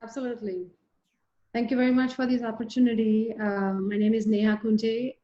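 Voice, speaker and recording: A woman introducing herself as a company chief in a short business pitch. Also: little pitch movement, brisk. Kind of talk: brisk elevator-pitch introduction. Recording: dull, roomy call audio.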